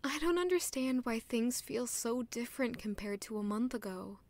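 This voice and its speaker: A woman's voice: on the high side, lively intonation, normal speed, darkish tone, no room sound. An actress playing a polite, anxious young woman in royal service in an audio drama, earnest and a little hesitant. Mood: troubled. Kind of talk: scripted audio drama acting.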